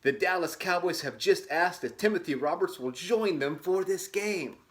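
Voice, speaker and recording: A man puts on a stadium loudspeaker announcer from a storybook. He proclaims formally in a slightly high male voice. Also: normal speed, lightly acted. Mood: proclaiming.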